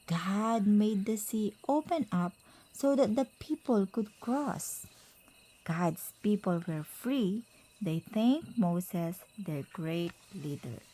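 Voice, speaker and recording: Slow, mellow woman reading a children's book. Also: mid-range voice, rough recording. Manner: simple, deliberate phrasing with pauses. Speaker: mother reading aloud to a child. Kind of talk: children's picture-book read-aloud.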